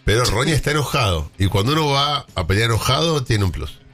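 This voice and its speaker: A man chatting quickly on a radio panel. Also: medium pitch, very animated, a bit bright, soft background music. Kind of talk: casual radio chat.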